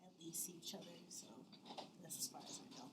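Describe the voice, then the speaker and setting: A woman's voice: deep voice, quick pace, roomy sound, in a whisper. A woman in her twenties, a dinner guest, answers hesitantly with many "um"s. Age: 20s. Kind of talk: casual dinner-table chat. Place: dining room at a dinner table.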